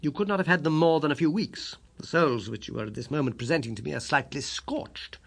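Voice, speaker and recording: A man voicing a brisk, self-assured British gentleman detective in an audiobook, high and somewhat strident, with a quick, incisive manner. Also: gravelly, slightly rough. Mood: explaining, self-assured.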